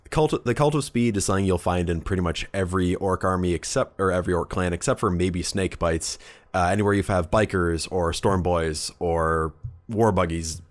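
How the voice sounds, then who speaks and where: A man with a deep voice co-hosts a casual podcast. He talks through hobby details in an informal, keen way. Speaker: podcast co-host.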